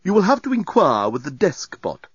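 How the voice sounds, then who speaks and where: A snobbish, officious robot servant from a comic storybook, acted out by a man. He speaks with cold, stiff formality and sniffy disdain. The pitch swoops about and the recording is dry. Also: huge pitch swings, moderate pace, slightly rough, dry recording, highs cut off, exaggerated voice. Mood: haughty, sniffy.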